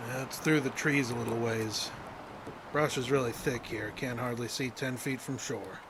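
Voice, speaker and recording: A man's voice: mid-range voice, fairly even intonation, normal speed, noisy background, lightly acted. An actor playing a guarded, plain-spoken fishing guide haunted by his past, talking in a folksy rural way in an audio drama. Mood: somber storytelling. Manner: folksy, ungrammatical rural small-town talk.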